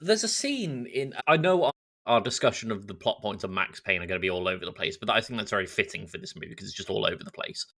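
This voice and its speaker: A man co-hosting a podcast, talking casually about films in an animated, mocking storytelling way. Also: fairly low pitch, quick pace, gravelly. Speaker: podcast co-host. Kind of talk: casual film discussion.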